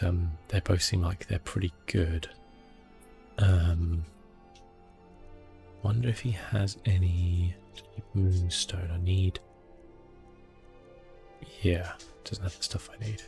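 Low, gravelly man, hushed gaming commentary. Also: steady tone, takes it slowly, pauses often, faint music behind. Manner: understated, hesitant, thinking aloud. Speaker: gaming video host. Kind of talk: relaxed ASMR game commentary.

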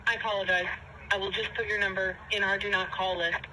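A woman's voice: medium pitch, little pitch movement, fast, sharp tone. A woman telemarketer making a scripted sales call, polite and formulaic. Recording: noisy telephone call.

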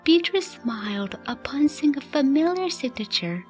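Higher-pitched woman narrating over music. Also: sing-song, moderate pace. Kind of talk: scripted story narration for a radio play.

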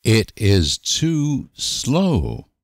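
A man reading short example sentences for language learners with deliberate, careful enunciation. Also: mid-range voice, huge pitch swings, no room sound, studio quality. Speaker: language teacher.

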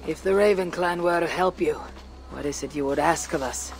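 Stoic Viking clan chief, a woman; husky and low, few words, commanding, for game cutscenes. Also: moderate pace. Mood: guarded. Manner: terse, commanding. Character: stoic, hardened female Viking clan leader. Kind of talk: video game cutscene acting.

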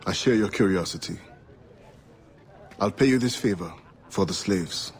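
A steadfast, dignified pirate assassin with a fairly low voice and a Caribbean accent. He speaks formally and stays composed, in dramatic game style, with faint ambience behind him. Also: measured pace, slight background noise. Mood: respectful. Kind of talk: dramatic video game acting.

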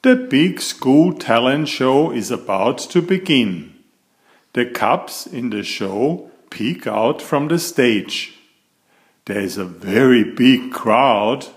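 A man reading a picture book aloud to young children in an expressive, deliberate storytelling manner. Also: mid-range voice. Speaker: picture-book reader and author of children's stories.

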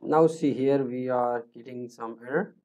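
A man, talking through a screen-recorded coding tutorial in a halting way, with many fillers and restarts as he thinks aloud. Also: medium pitch, dark tone. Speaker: programming tutor.

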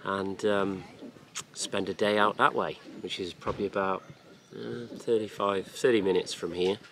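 A man in his thirties talking casually to a handheld camera while camping, relaxed and chatty with plenty of ums. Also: deep voice, normal speed, vocal fry. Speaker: family vlogger. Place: outdoors on a campsite field. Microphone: camera at arm's length. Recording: noisy outdoor recording.

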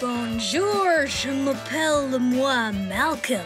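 A halting English schoolboy. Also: female voice, high-pitched, lively intonation, music in the background, heavily acted. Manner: halting, broken French with English words. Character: English exchange boy, clumsy broken French.

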